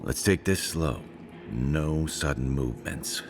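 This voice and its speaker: A man playing a wary, pragmatic warrior companion in a video game, with a gruff, wry, commanding manner. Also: rumbling low, animated, takes it slowly, background noise, heavily acted. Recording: game music behind the voice.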